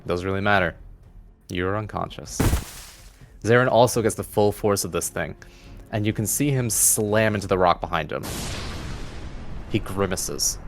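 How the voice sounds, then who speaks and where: A man's voice: lowish voice, normal speed, creaky, pauses often, over music. A man narrating a tabletop fantasy game as game master, with dramatic, descriptive storytelling.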